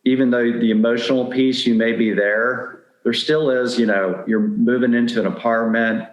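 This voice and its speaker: A man's voice: mid-range voice, fairly even intonation, moderate pace, reverberant, rough recording. A middle-aged man speaking candidly and anecdotally as a guest in a conversational interview. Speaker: podcast guest giving dating advice.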